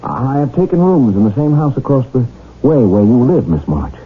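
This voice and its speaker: An actor playing an earnest, lovestruck English gentleman who tells his own tale in a period radio drama. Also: mid-range voice, sing-song, normal speed, breathy edge. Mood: eager, courteous. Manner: polite, romantic, storytelling. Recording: tinny band-limited old radio broadcast, music behind.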